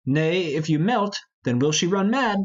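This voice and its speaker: A man's voice: fairly high pitch, animated, unhurried, dull audio. A man playing a commanding, solemn older lord and father in an amateur Shakespeare reading, declaiming the verse. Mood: grand, solemn.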